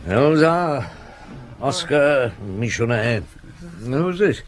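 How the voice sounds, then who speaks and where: A shrewd, commanding detective chief in a radio crime drama. He probes briskly and doggedly, his mid-pitched voice swinging widely from low asides to sharp orders. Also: expressive, soft background music. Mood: measured, in control. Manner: brisk, probing, authoritative questioning. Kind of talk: broadcast radio drama acting.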